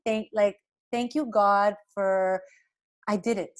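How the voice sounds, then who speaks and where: A woman's voice: mid-range voice, steady tone, slow. A woman in her thirties, a podcast guest in casual chat, upbeat and storytelling.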